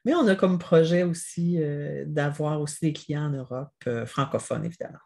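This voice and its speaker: A woman with a Québécois accent, a family business mediator explaining as an interview guest in a measured, reflective way. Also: low voice, creaky, warm tone, husky. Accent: Québécois French. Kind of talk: interview answers.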